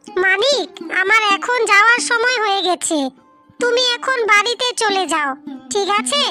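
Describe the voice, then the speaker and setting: A woman voicing a kindly magical snake-woman cursed into a bird in a cartoon fairy tale, with a gentle, earnest, reassuring manner. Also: extremely high pitch, measured pace. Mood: kind, advising. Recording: music behind the voice.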